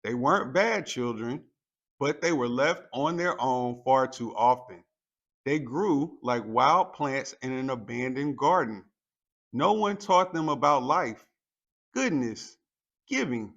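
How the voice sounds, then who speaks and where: A man with a mid-range voice reads a children's story aloud. His pace is slow and deliberate, and his delivery is expressive, shaped for young listeners. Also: medium pitch, highs cut off. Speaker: storytime reader. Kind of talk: children's picture book read-aloud, scripted narration.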